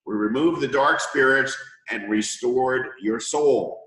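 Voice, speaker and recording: A middle-aged man with a hoarse voice from a cold, hosting an interview as a healer and explaining things at length with analogies. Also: medium pitch, takes it slowly, a bit bright, echoey room, poor audio. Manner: confident, lecturing with analogies. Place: room in Thailand.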